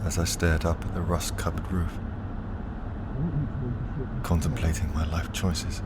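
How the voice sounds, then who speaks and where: Casting: male narrator for dark crime drama, low-pitched, unhurried, brooding, with clear background ambience. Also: level tone. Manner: brooding, deliberate, dramatic pauses. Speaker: narrator and performer. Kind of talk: dark first-person scripted drama narration. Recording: clear ambient noise behind the voice.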